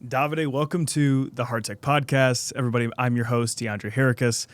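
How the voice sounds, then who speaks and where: A man's voice: mid-range voice, fairly even intonation, normal speed, no echo, soft background music. A man hosting a podcast, interviewing a guest in an upbeat, friendly, casual way. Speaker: podcast host.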